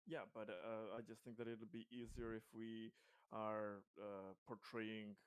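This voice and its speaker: A man playing a tabletop game, casually discussing plans in a halting way and often repeating words. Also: fairly low pitch, little pitch movement, smooth, no room sound.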